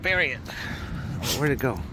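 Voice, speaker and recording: A man's voice: medium pitch, expressive, fairly quick, noisy background. A man, a dog trainer, talking playfully to his dogs on a beach at night, recorded on a handheld camera. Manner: coaxing, playful talk to dogs. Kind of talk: casual talk to his dogs.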